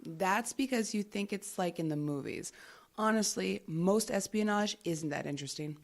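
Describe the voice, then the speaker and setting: An actress playing a secretive government agent in an audio drama, dry and unflappable. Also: female voice, mid-range voice, normal speed, natural voice. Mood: wry.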